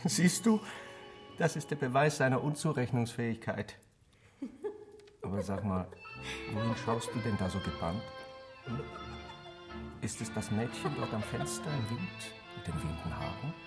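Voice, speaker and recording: A man's voice: medium pitch, expressive, moderate pace, long pauses, natural voice. An actor playing a mocking, talkative man in a radio play, needling his friend with theatrical questions. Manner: sardonic, theatrical, rhetorical questioning. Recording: music playing behind the voice. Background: music.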